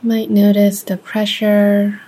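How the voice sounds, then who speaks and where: A woman guides a meditation at a very unhurried pace. Her voice is soft, mellow and a little breathy. She speaks gently, in short measured phrases separated by long pauses. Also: mid-range voice, completely flat delivery.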